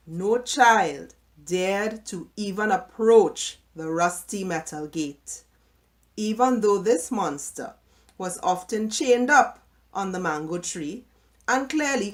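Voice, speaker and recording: A middle-aged woman reading a first-person children's story aloud in a measured, dramatic storytelling manner. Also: on the low side. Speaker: story reader.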